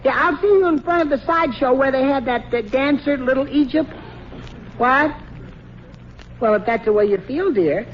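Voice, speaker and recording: A man's voice: extremely high pitch, normal speed. A middle-aged American man playing a hapless, henpecked, good-natured husband in an old radio sitcom, stammering and sheepish. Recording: muffled, noisy vintage radio recording.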